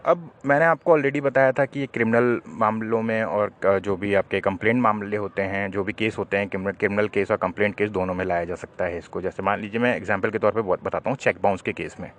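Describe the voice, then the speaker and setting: A man's voice: on the low side, steady tone, fast talker, few pauses. A man presenting a legal explainer, speaking didactically to viewers and posing questions that he then answers.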